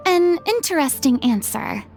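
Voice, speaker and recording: An actress playing a poised young woman in a game cutscene, reflective and measured, slipping in a French phrase. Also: sits high, sing-song, faint music behind, full character voice. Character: poised young woman musing on law and emotion.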